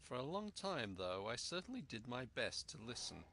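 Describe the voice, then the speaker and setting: A man reading a story aloud as an expressive narrator. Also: mid-range voice, moderate pace, a little hiss or hum. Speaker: storyteller, audiobook reader.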